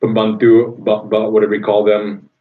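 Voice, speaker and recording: A man's voice: on the low side, level tone, normal speed. A young man from Indonesia chatting casually on a livestream, rambling with many ums and easy enthusiasm. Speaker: livestream host answering viewer questions. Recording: dull lo-fi sound.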